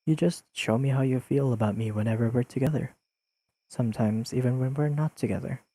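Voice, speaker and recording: Man acting a soft husky earnest best friend. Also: medium pitch, close to an everyday voice. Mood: gently certain. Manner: halting, careful, softly pleading, slightly formal wording. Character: earnest, oblivious, awkwardly analytical best friend confessing, young man. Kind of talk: intimate audio roleplay addressed to the listener.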